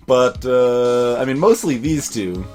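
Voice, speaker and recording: A man's voice: medium pitch, expressive, takes it slowly, over music. A man casually commentating on a game he is playing, thinking aloud in a hesitant way. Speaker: let's play host.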